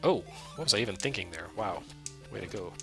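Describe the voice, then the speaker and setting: A soft-spoken man giving loose, self-deprecating commentary while streaming a retro game, full of fillers and restarts. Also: on the low side, animated, fast talker. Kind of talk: casual live-stream commentary. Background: game music under the voice.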